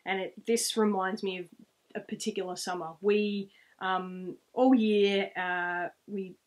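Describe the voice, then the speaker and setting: A woman's voice: medium pitch, fairly even intonation, a little slow. Rambling Australian woman telling personal stories. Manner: rambling, reflective. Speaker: vlogger. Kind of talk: casual storytelling to camera. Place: small room at home, indoors, seated.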